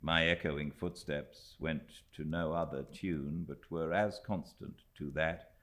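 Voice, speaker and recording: A British man reading a classic novel aloud as an audiobook narrator, measured and expressive, with deliberate pauses. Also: a bass voice. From Britain.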